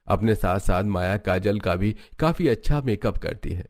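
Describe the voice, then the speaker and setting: A man's voice: fairly low pitch, lively intonation, moderate pace, darkish tone, no room sound. A man reading scripted narration for a cartoon story, as its narrator.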